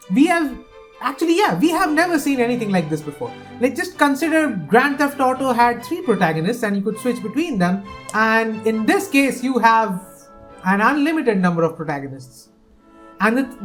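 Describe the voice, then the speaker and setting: Woman, fairly high voice, eager gaming commentary, soft music behind. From India. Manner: excitable and opinionated, with frequent restarts. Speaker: gaming video host. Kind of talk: casual game preview commentary.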